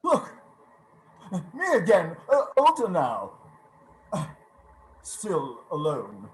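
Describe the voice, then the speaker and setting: An actor playing a shaken, remorseful old miser in a radio play, hesitant and emotional, turning from gruff to tremulous. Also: mid-range voice, huge pitch swings, very slow, heavily acted. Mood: wistful, sad. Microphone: video call.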